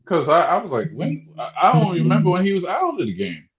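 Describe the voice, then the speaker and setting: A man's voice: sits high, wildly swooping pitch, fairly quick, background noise. A man hosting a sports talk show, rambling and interrupting himself with many restarts. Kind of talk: casual sports radio banter. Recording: echoey room, dull muffled sound.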